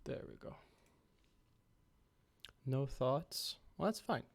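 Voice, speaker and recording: A young man hosting a casual game-creation livestream from home on a headset, slightly raspy after being ill. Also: mid-range voice, slow pace. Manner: relaxed, rambling, talks to chat.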